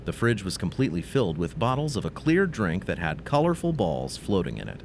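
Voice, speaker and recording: Deep, animated male storyteller. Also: brisk, slight background noise. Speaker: narrator. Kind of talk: scripted storytelling narration.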